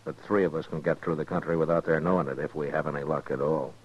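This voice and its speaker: An actor playing a steady, determined American frontier marshal in a 1950s radio western. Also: male voice, rumbling low, fast, husky. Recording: dull, noisy 1950s radio recording.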